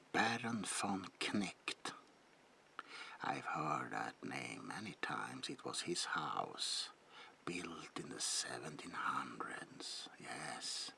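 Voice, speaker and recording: An ancient vampire baron in a horror ASMR roleplay, whispering very slowly and theatrically. The voice is extremely low, with heavy gravelly fry, and his old-world politeness carries quiet menace. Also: heavily acted. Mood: sly, courteous. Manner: slow, theatrical, lingering whisper with archaic politeness. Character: creepy old male vampire baron, courteous, eerie, menacing.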